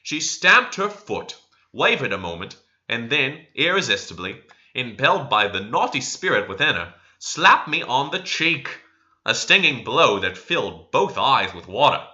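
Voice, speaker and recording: A man's voice: mid-range voice, sing-song, measured pace, sharp tone. A man reading literary narration expressively, as an audiobook reader. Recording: slightly dull lo-fi recording.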